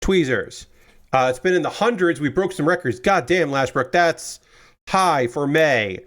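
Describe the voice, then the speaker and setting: A man streaming live from a small room at home, chatting casually and rambling while he builds, with a loud booming voice. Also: lively intonation, unhurried. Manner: rambling, chatty, restarts often.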